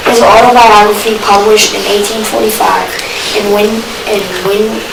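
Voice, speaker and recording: A woman reading scripted narration for an amateur readers' theater in a halting way. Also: medium pitch, little pitch movement, creaky. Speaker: student narrator. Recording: echoey room from far off, loud noise.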